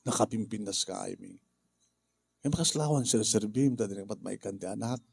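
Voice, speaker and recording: A man with an Ilocano accent chatting casually in a halting, jokey, rambling way. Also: lowish voice, vocal fry, darkish tone, husky, no echo. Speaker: radio drama actor and host. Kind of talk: casual chat with bursts of singing.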